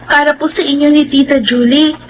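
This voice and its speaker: A woman's voice: sits high, steady tone, brisk, background noise, lightly acted. A woman playing a polite, sweet young girl in a Filipino radio drama. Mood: polite, content.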